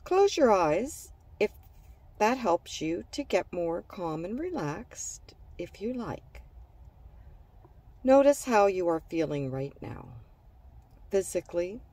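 Slow, mellow woman guiding relaxation. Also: medium pitch, big pitch swings, far from the mic. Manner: gentle, soothing, phrases separated by pauses. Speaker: guided meditation narrator. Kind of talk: scripted guided relaxation for children.

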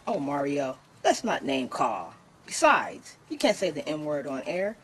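A performer voicing a gloating puppet villain who hijacks a television broadcast, taunting with drawn-out, emphatic phrasing in theatrical skit style. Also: medium pitch, very animated, fairly bright tone, breathy edge, full character voice. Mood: smug taunting.

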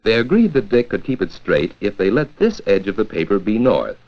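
An American man narrating a 1940s educational film from a script, with a clear, measured storytelling delivery. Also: fairly low pitch, very animated. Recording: dull, lo-fi 1940s 16mm film soundtrack.

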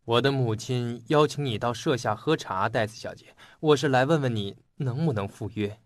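An actor playing an earnest, polite young police detective in a radio drama. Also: male voice, mid-range voice, moderate pace, dry recording, studio quality, natural voice.

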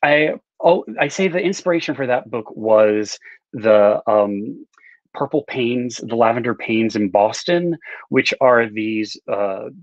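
A man's voice: mid-range voice, slow pace, no room sound. Middle aged guy on a video call, chatty and self-deprecating, dry muffled sound. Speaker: author, interview guest. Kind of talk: casual conversational interview answers. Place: indoor room with bookshelves, on a video call. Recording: slightly dull video-call audio.

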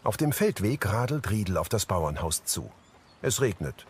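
A man's voice: lowish voice, very animated, normal speed, a little hiss or hum. A man reading scripted audio description, narrating scenes in short factual sentences.

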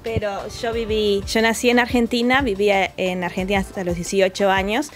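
A woman's voice: medium pitch, level tone, normal speed, faint music behind. A young woman with a Rioplatense accent, a guest telling her story casually in a living room. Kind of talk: casual interview talk.

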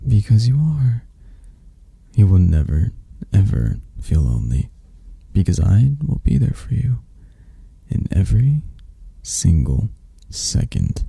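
An obsessed young male yandere, acted out by a man in an ASMR roleplay. His low, very dark voice is gravelly and drags out slowly as he coos with sweet menace. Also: no echo, heavily acted. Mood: excited, obsessive. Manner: slow, intimate, breathy sighs and pauses.

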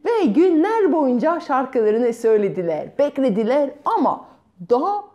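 A woman in her thirties telling a children's tale in an expressive, theatrical way, with drawn-out words and sound effects. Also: mid-range voice. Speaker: storyteller. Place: bright room at home.

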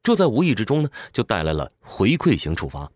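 A man's voice: on the low side, huge pitch swings, fairly quick, a little mellow, no room sound, clean studio sound. A man narrates a scripted explainer in a didactic way, posing questions and answering them. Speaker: audiobook summary narrator.

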